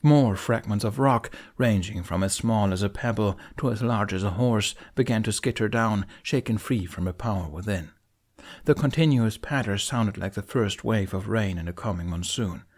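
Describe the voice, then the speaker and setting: A man narrating a fantasy adventure audiobook in an expressive, scripted storytelling style. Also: lowish voice, normal speed.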